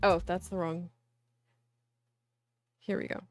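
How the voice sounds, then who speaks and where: Female game streamer, mid pitch, unhurried, informal and playful, music underneath. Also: level tone. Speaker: livestream host playing visual novels. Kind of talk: casual game stream chat with reading aloud.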